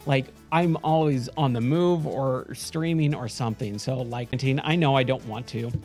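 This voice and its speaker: A man streaming late at night, chatting casually with viewers in a hoarse voice that is going. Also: medium pitch, normal speed, darkish tone, faint music behind. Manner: chatty, rambling, frequent self-corrections. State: hoarse, losing his voice.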